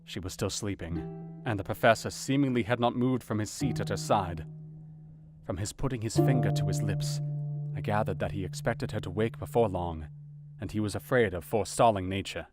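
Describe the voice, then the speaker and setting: An actor playing a grave, conscientious doctor, narrating his own account in a measured, formal, sombre way in an audio drama. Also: lowish voice, loud music behind the voice, close to an everyday voice. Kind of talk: dramatized first-person narration.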